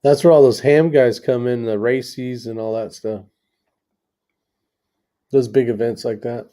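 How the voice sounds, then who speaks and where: A man's voice: mid-range voice, fairly even intonation, measured pace, warm tone. A man chatting casually as a co-host. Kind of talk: casual chat.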